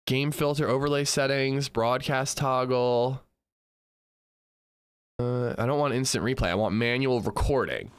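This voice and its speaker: A man livestreaming and chatting casually with viewers, a bit congested, swinging between upbeat shout-outs and low mumbles. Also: a little slow, slight background noise.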